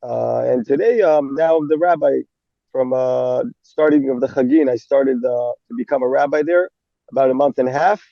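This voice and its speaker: Brief: young man, gravelly, halting with fillers, dull dry recording. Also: mid-range voice, no room sound. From Israel. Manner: halting, many fillers and restarts. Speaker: army rabbi. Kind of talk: interview guest.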